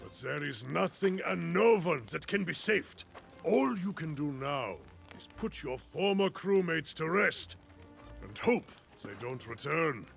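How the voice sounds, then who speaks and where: An actor playing a hearty, optimistic warrior mentor in a video game, with a gravelly voice and a boisterous, theatrical delivery. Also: male voice, on the high side, lively intonation, measured pace, a bit bright, soft background music, exaggerated voice. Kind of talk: video game cutscene acting.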